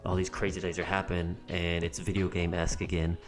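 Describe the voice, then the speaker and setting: A man's voice: low voice, level tone, fast, soft background music. A man co-hosting a podcast, chatting casually and rambling with lots of ums as he searches for words. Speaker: podcast co-host. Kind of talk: casual chat.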